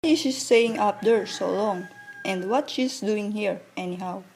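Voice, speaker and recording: A woman's voice: medium pitch, a little slow, a little mellow, faint music behind, close to an everyday voice, rough recording. A woman playing a curious, polite young granddaughter in a radio play, questioning and respectful. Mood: inquisitive.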